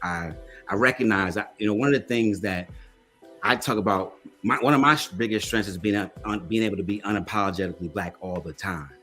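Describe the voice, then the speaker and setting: A man's voice: lowish voice, brisk, pushed and bright, soft background music. A man speaking with passion in a panel discussion, emphatic and repeating phrases for force. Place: online video panel.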